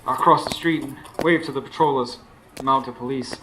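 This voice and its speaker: A man's voice: medium pitch, steady tone, moderate pace, fairly bright tone, close to an everyday voice, rough recording. A man acting as a weary, anxious working husband in a radio drama. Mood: weary, musing. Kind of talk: radio drama acting with first-person narration. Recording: faint background noise, live stage.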